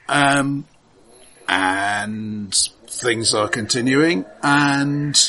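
A man co-hosting a casual film discussion, explaining in a halting, considered way. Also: mid-range voice, sharp tone, a little hiss or hum, rough recording. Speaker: podcast co-host.

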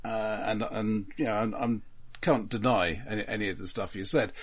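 A man co-hosting a film podcast, speaking casually in a measured, halting way. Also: lowish voice, slightly rough.

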